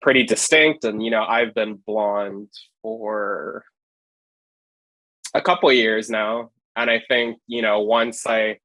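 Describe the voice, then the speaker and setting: A man's voice: lowish voice, fairly even intonation, takes it slowly, bright, forceful tone, dry recording, poor audio. A man from Hawaii, a street photographer, chatting as a podcast guest, rambling with lots of fillers. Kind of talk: casual podcast chat.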